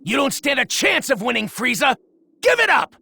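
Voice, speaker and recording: A man playing an earnest, fiery martial-arts hero in an anime video game, with a gravelly, forceful voice. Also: very high-pitched, sing-song, normal speed, full character voice. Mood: defiant. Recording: music behind the voice.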